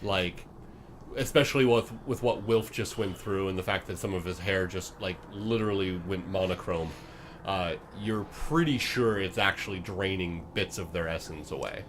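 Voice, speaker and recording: Man, game master, low voice, halting descriptive narration, faint background noise. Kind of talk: improvised tabletop game narration.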